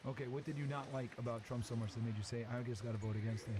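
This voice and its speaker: Fast-talking, blunt male debate host. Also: mid-range voice, steady tone, noisy background. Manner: pressing, blunt. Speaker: panel show host. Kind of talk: confrontational questioning, debate.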